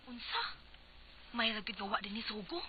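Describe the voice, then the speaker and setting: Dull lo-fi radio drama: worried Cebuano wife acted by a woman, exasperated, questioning, very lively intonation. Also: fairly high pitch, normal speed, noisy background, lightly acted. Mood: alarmed, curious. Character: Matilde, worried, exasperated wife of a thin-skinned politician. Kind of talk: Bisaya radio drama acting.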